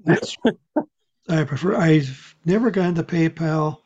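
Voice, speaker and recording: A woman with a husky voice in casual conversation, telling things in a measured, rambling way. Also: low-pitched, mellow, highs cut off. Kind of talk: casual chat.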